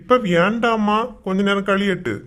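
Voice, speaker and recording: A man's voice: sits high, steady tone, moderate pace, a bit bright. A man playing a teasing, grumbling village husband in a cartoon comedy, with a Kanyakumari Tamil accent. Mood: placating.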